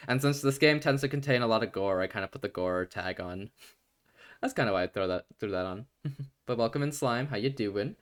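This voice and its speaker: A man streaming a story game, reading aloud quietly and chatting with his viewers. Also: lively intonation, brisk. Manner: quiet, low reading voice that breaks into loud laughing chatter.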